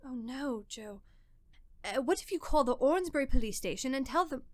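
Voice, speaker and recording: A woman's voice: sits high, animated, moderate pace, a little mellow, no room sound, clean studio sound. A woman playing an anxious, loving young wife in a radio drama, pleading and concerned. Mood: worried.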